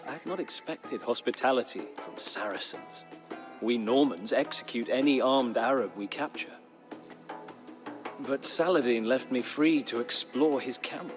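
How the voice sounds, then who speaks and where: An actor playing a weary captive Norman knight who chronicles his captivity in solemn, measured storybook narration for a strategy game. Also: medium pitch, lightly acted. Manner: solemn, measured, literary delivery with dramatic pauses. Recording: studio narration over clear background music.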